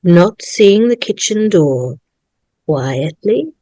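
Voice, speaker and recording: Female narrator for a script read: unhurried, very expressive, close dry sound. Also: fairly low pitch, dry recording. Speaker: narrator of a staged table read. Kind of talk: reading screenplay directions aloud.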